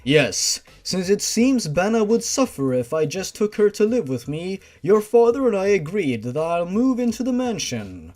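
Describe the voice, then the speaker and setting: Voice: mid-range voice, big pitch swings, moderate pace, hardly any pauses, a little mellow, natural voice. A narrator voicing a businesslike monster uncle in a horror story reading. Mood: matter-of-fact. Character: businesslike monster uncle, settling family terms.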